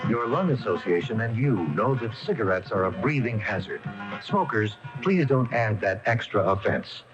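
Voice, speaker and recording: A man's voice: on the low side, expressive, normal speed. A man reading a scripted public service appeal on the radio. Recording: dull old AM radio tape. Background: music.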